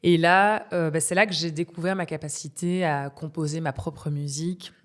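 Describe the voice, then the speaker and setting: A young woman from Belgium with a low, full voice talks through her thoughts in an interview. She hesitates often, restarting sentences and filling gaps with small sounds. The talk is relaxed and unscripted. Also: normal speed. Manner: hesitant, many fillers and restarts, laughs often. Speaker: singer, interview guest. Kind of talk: casual interview conversation.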